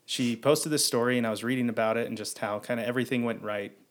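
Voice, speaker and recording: A man's voice: mid-range voice, fairly even intonation, fast talker. A man hosting a podcast, rambling with many fillers as he introduces his first guest. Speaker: podcast host. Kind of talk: casual interview conversation.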